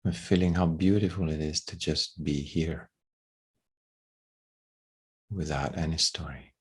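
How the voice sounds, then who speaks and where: A man leading a guided meditation in a gentle, unhurried way, with long pauses between phrases. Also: rumbling low, steady tone, husky.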